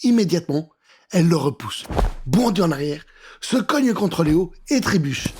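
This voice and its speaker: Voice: medium pitch, lively intonation, moderate pace, a little mellow, a little hiss or hum. A storyteller reading a scripted children's adventure in a theatrical, suspenseful way.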